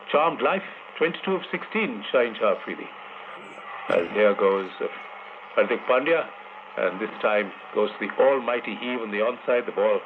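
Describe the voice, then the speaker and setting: A man giving live ball-by-ball cricket commentary on the radio, chatty and wry, laughing at the fielding errors and lifting when the big shots come. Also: mid-range voice. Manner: chatty, wry, laughs at dropped catches, rises in excitement on big hits. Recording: tinny broadcast radio off the air with static hiss.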